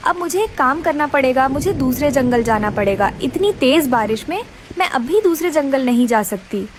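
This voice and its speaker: Woman voicing a high, plaintive little female bird. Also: animated, normal speed, slight background noise. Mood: distressed, plaintive. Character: distressed, hardworking, polite young female bird. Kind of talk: Hindi children's cartoon character voice.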